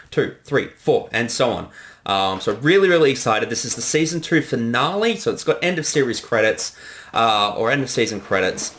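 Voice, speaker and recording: A man's voice: medium pitch, a bit bright. A man giving a casual director's commentary, enthusiastic and sweary, often hesitating as he talks. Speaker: host and director of a fan parody series.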